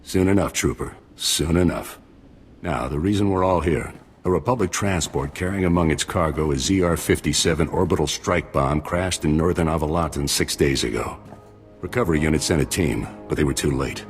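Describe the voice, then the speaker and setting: Very deep-voiced military commander. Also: male voice, soft background music. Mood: grave. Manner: measured, authoritative, formal. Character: composed, welcoming older male special-forces commander. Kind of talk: video game cutscene acting, briefing.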